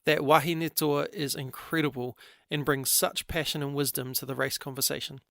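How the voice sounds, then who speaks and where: Brief: middle-aged New male podcast host, higher pitched, gravelly, earnest, close dry mic. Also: steady tone, normal speed, a bit bright, dry recording. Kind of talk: scripted intro then casual interview.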